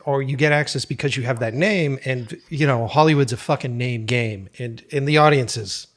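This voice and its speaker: Podcast host guy, swears a lot, um uh like everywhere, middle pitch. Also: normal speed. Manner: blunt, swears freely, lots of fillers. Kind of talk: casual podcast interview.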